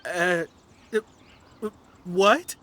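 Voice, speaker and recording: A woman's voice: on the low side, very slow, background noise. A woman playing a timid, superstitious, grateful client in an anime game, hesitant and polite. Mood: stunned. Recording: music playing behind the voice.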